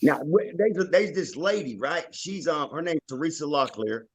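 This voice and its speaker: Blunt country man, slightly high voice. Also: moderate pace, husky, no echo. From North Carolina, US. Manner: blunt, animated, slangy, talks emphatically. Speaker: guest, tribe member. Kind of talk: casual chat.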